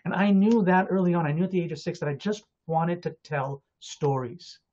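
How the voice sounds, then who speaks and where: A man in his forties from Montreal, an actor telling his story in a casual, animated and chatty way. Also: high voice, brisk. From Montreal, Canada. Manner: animated, chatty, acts out his younger self. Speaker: actor and director, guest. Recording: dull lo-fi audio.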